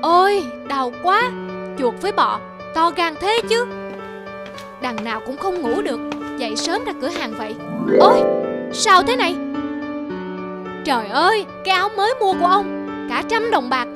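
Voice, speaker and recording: A woman's voice: very high-pitched, very animated, normal speed, pauses often. A woman voicing a greedy, stingy old male rice merchant in a cartoon, gloating and ranting shrilly. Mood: outraged. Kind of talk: cartoon voice acting. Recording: loud cartoon music underneath.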